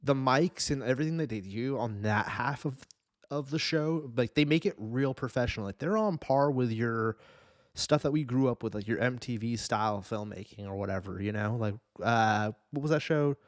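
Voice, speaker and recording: A man's voice: medium pitch, moderate pace, dry recording, clean studio sound. A man co-hosting a podcast in casual conversation, speaking informally with some swearing. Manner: profane, informal. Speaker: podcast co-host. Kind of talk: casual chat.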